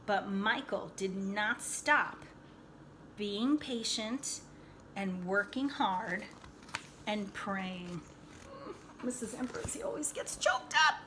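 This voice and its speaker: A woman reading a children's picture book aloud in an animated, dramatic way, pausing to explain things to young listeners like a teacher. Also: medium pitch, a little hiss or hum, rough recording. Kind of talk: expressive read-aloud storytelling with asides.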